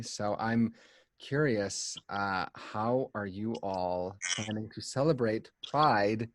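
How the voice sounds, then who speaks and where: Male panel host, unhurried and measured, lower pitch, dry video call audio. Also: no echo.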